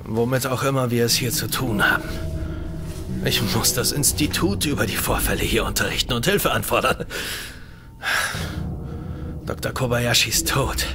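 An actor playing a strained, authoritative leader of a research station in an audio drama. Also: male voice, mid-range voice, normal speed, pauses often, music in the background, close to an everyday voice. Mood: grave, weary. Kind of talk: German audio drama acting.

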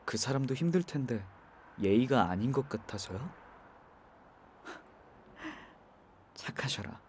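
A young man voicing a kind, soft-spoken driver in an ASMR audio drama, talking gently and comfortingly. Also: mid-range voice, animated, slow, a little hiss or hum. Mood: gentle, comforting.

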